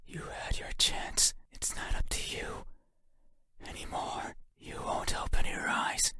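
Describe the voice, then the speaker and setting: An unsettling creature of the night from a horror game, wounded and menacing. The voice is a breathy whisper with almost no tone in it. Each phrase comes very slowly and deliberately, with obsessive intensity. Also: male voice, sharp tone. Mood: frantic, demanding.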